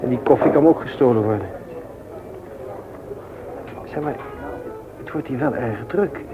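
An actor playing a reserved, considerate widower with a laconic, attentive manner in a naturalistic radio play. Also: male voice, medium pitch, measured pace, music in the background, lightly acted. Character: reserved, considerate widower, calm and sparing with words. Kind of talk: naturalistic radio drama acting. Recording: muffled, hissy old radio play recording.

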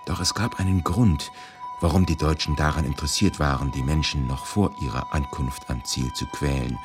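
Very low male voice, grave, measured delivery, scripted radio narration, music clearly behind. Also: steady tone. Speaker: reader of a historical eyewitness testimony.

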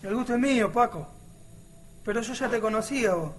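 Obrero miliciano argentino, voz aguda. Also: male voice, very high-pitched, a little fast, slight background noise. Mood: earnest, hopeful. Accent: Argentine, Rioplatense. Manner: colloquial, slangy. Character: earnest, outspoken working-class male resistance militiaman. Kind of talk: radio drama dialogue.

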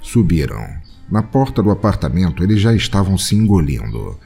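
A man with a Brazilian accent narrating a scripted comic audio drama with deadpan, exaggerated storytelling. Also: low-pitched, moderate pace, gravelly. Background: music bed.

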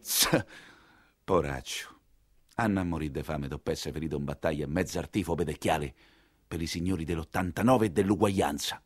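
An actor playing a wry, earthy nineteenth-century coachman who tells his story in colloquial Roman, in a grumbling, expressive stage monologue. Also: very deep voice, brisk, dry recording, clean studio sound. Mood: fond, rueful.

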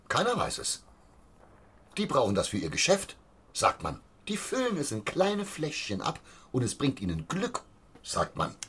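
An actor playing an agitated, bigoted butcher and father in a radio play, with a rough husky voice and urgent, indignant delivery. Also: medium pitch, fairly bright tone, exaggerated voice. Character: agitated, bigoted small-town butcher and father, rabble-rousing.

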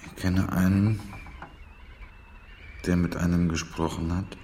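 Slow, deep, fatalistic provincial. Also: male voice, slight background noise. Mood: gloomy, resigned. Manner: laconic, deadpan, deliberate. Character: slow, fatalistic provincial man, stolidly resigned. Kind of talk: radio drama acting. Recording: studio radio drama over music.

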